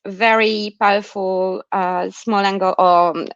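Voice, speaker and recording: A woman's voice: medium pitch, fairly even intonation, takes it slowly, dry recording. A woman researcher with a non-Australian accent giving an explanatory academic talk online, often checking understanding with short tags. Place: remote online talk during lockdown. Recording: muffled lo-fi online call audio.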